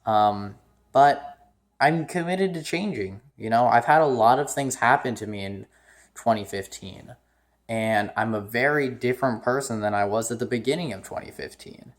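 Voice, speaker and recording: A man's voice: medium pitch, moderate pace. A nineteen-year-old man from the United States giving a casual, rambling vlog update, full of ums and restarts. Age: young adult. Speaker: YouTuber.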